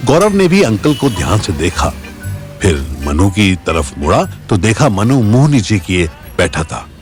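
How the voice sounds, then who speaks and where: A man's voice: fairly low pitch, very animated, a little slow. A man narrating a scripted audio story as its storyteller. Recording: music bed behind voice.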